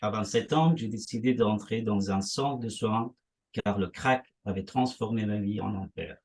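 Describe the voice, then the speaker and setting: Guy w a low husky voice reading his own story out slow, line by line. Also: a little mellow. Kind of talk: reading a prepared text aloud.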